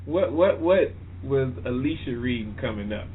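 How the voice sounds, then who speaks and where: A man's voice: medium pitch, lively intonation, moderate pace. A man hosting a casual radio interview, talking informally and emphatically over a phone line. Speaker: radio show host interviewing a guest. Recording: tinny phone line with hiss.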